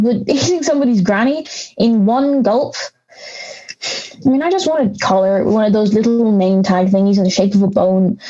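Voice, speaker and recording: A woman's voice: medium pitch, warm tone, lo-fi sound, close to an everyday voice. A woman playing an aggrieved, misunderstood big bad wolf in an audio drama, ranting with sarcastic indignation. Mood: mocking, resentful, then wistful.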